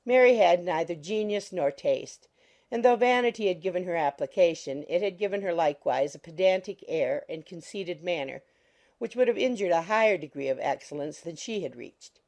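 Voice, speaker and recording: A woman reading scripted literary narration for an audiobook in a measured, formal manner. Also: fairly low pitch, animated, a little mellow.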